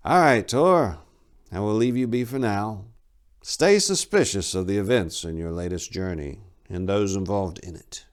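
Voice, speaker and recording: A man voicing an aged, suspicious monastery abbot with a stern, probing, measured manner in a fantasy audiobook. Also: lowish voice, clear, resonant tone, pitched above the performer's own voice, natural voice. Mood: measured approval. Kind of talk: dramatic fantasy audiobook reading.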